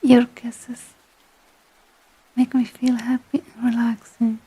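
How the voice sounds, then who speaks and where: A woman from Italy with an Italian accent, whispering and softly chatting with hesitant fillers as an ASMR streamer. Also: fairly high pitch, flat delivery, slow, husky, low-quality recording. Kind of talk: soft-spoken ASMR storytelling and chat.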